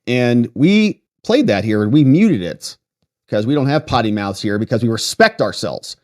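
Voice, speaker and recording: An American man hosts a political commentary stream. His mid-range voice swings widely in pitch as he reads articles aloud. He keeps cutting in with mocking, sarcastic asides. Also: sing-song, normal speed, a little mellow.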